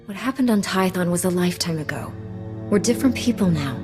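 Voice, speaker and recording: A woman playing a calm, resolute Jedi knight in a video game, speaking with earnest firmness. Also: lowish voice, fast talker, lightly acted. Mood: firm. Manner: composed, earnest, firm. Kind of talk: scripted game dialogue. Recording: music behind the voice.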